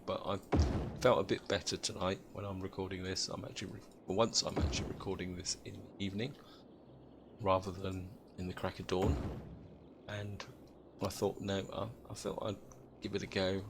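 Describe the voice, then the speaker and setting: A British man with a croaky voice from a chest cold, chatting casually as he thinks aloud through a city-building game. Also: sits low. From Britain. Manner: thinks aloud, chatty, addresses viewers as guys. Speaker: gameplay commentator.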